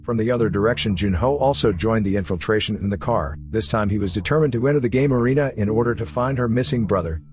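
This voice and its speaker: A man's voice: fairly low pitch, quick pace, few pauses. A man reading a scripted story recap as a narrator. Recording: music running behind the voice. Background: background music.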